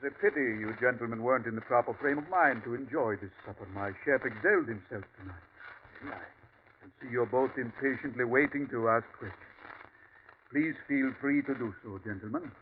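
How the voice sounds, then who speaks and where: An actor playing a calm, courteous Martian invader who speaks with cold condescension in an old radio drama. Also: male voice, medium pitch, brisk, close to an everyday voice. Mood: smooth menace. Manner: suave, courteous, coldly condescending. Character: calm superior male Martian invader posing as ranch host. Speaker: villain. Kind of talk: 1940s radio acting. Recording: tinny old radio broadcast with faint hiss.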